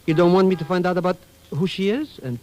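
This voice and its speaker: An actor playing a nervous, wheedling comic sidekick in a 1940s radio drama, stammering and exclaiming. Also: male voice, sits high, fast, a little mellow, natural voice. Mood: wheedling, cheeky. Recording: noisy old radio broadcast recording.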